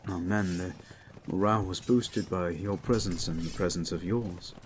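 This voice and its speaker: Man as a low, weary, earnest knight-captain. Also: moderate pace, slight background noise, natural voice. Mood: subdued, worried. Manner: subdued, sincere, hesitant. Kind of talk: fantasy audio drama acting.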